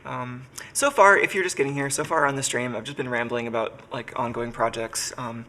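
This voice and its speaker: A man's voice: medium pitch, fairly quick, pushed and bright, slight background noise. A man who is sick and congested, chatting casually on a live stream from his workshop and thinking aloud with lots of fillers. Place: home workshop.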